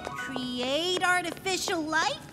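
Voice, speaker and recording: A woman's voice: very high voice, takes it slowly. A woman voicing a small, mild, helpful tooth creature who is a lab sidekick in a cartoon. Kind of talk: cartoon voice acting. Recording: music behind the voice.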